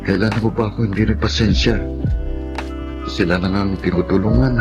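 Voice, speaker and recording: A man acting as a hardworking young Filipino son in a radio drama. His voice is on the low side, earnest and measured, as he gives dutiful advice, with music clearly behind him. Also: steady tone, natural voice. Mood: frustrated, admonishing. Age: young adult. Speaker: lead actor in a radio drama.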